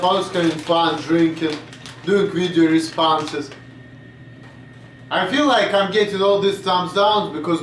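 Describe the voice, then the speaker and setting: Young man with a Russian accent, rambling blunt vlog talk, highish voice, echoey room, set back from the mic. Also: a bit bright, recorded from a distance, slight background noise. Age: 20s. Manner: blunt, swearing, drifts off topic. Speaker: vlogger. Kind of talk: rambling casual monologue.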